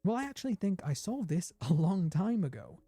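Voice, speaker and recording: A man's voice: high voice, big pitch swings, fairly quick, warm tone. A man giving scripted video essay narration, explaining things step by step and building suspense. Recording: prominent music under the voice. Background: music.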